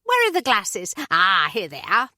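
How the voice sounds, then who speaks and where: A woman's voice: mid-range voice, huge pitch swings, sharp tone, no room sound, studio quality, heavily acted. A woman voicing a chatty, hyperactive middle-aged neighbour with a slight northern English accent, talking over everyone in a storybook reading. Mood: effusive. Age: 40s-50s. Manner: gushing, rapid-fire, overbearing.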